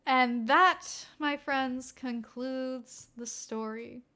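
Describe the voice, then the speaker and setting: Bright, high-voiced woman reading a story. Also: drawn-out words. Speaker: fan fiction reader. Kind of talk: expressive storybook-style narration.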